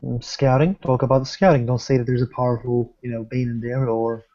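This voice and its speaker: A man of medium pitch roleplays in a tabletop game. He speaks tersely, as if giving instructions. The audio is dull and lo-fi. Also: steady tone, brisk, mellow. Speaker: tabletop role-player.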